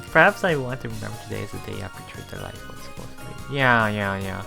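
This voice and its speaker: A man's voice: on the low side, lively intonation, brisk, vocal fry, bright, forceful tone. A man hosting a let's play, reading visual novel text aloud with casual, musing commentary. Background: game music.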